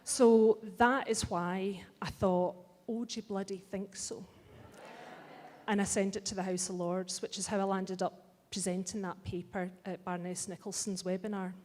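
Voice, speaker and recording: A woman's voice: mid-range voice, little pitch movement, moderate pace, darkish tone. A middle-aged Scottish woman giving a blunt, wry and impassioned speech to a live audience. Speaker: festival speaker. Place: large hall. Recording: long hall reverb.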